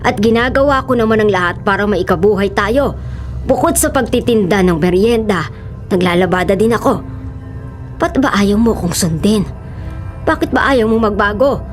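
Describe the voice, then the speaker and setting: A woman's voice: medium pitch, normal speed, faint music behind, lightly acted. A woman playing a weary middle-aged mother in a radio drama, scolding and pleading. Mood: reproachful. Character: weary, reproachful mother of a criminal son.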